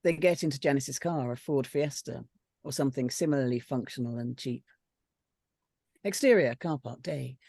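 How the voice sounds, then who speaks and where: A woman's voice: very deep voice, moderate pace, creaky, mellow, no room sound, studio quality. A woman reading scripted stage directions aloud as a narrator at a script reading.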